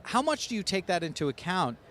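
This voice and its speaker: A man's voice: high voice, big pitch swings, fast. A man hosting a podcast, reading sponsor spots and an intro with emphasis, then chatting casually with a guest. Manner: upbeat and emphatic in the intro, soft and chatty in conversation. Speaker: podcast host. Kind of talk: scripted intro read, then casual interview.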